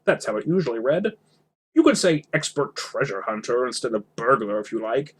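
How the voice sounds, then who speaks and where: A man's voice: mid-range voice, very animated, moderate pace, breathy edge. A man voicing a blunt, argumentative storybook dwarf in a brusque, businesslike manner. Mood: defensive, blunt. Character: blunt, argumentative male dwarf treasure hunter.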